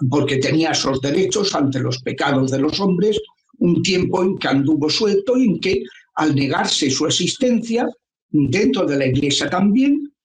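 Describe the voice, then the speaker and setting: Man in his 70s, priest guest; earnest, measured, slightly higher voice, echoey room. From Spain. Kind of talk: interview answers.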